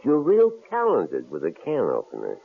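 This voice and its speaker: A man's voice: medium pitch, wildly swooping pitch, moderate pace, gravelly, slightly rough, full character voice. An actor playing a menacing, cold-blooded male intruder in an old radio drama, taunting and leering. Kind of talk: 1940s radio acting. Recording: tinny old radio broadcast with faint hiss.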